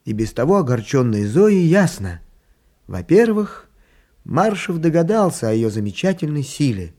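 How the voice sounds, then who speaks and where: A husky-voiced man narrating a children's radio play as its storyteller. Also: a little high, big pitch swings, moderate pace, a little mellow.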